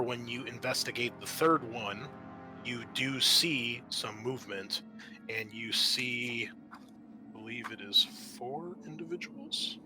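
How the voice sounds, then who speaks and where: A man narrating an improvised tabletop game as game master, halting often mid-sentence. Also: medium pitch, bright, forceful tone.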